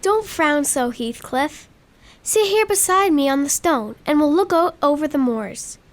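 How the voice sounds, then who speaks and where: A woman playing a gentle, pleading young girl given to make-believe in an audio drama. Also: sits high, lively intonation, a little slow, slight background noise. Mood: coaxing.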